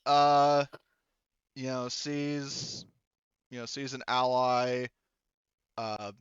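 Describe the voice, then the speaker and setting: A man's voice: mid-range voice, fairly even intonation, drawn-out words, smooth, no echo, muffled recording. A man acting as game master in an online tabletop roleplaying session, talking casually with some salty language.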